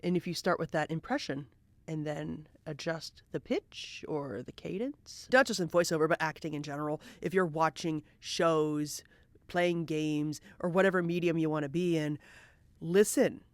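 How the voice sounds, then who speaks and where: A woman's voice: sits low, moderate pace, no room sound. A woman giving a casual, encouraging tutorial to viewers as a voice actor, demonstrating as she explains. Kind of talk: casual instructional talk to viewers.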